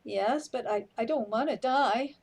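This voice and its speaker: Actress as wry weary woman, slow deliberate delivery, highish voice, radio drama. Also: a little mellow, breathy edge. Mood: anxious, despairing. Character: unhurried, matter-of-fact companion, wry and weary. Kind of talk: radio play acting.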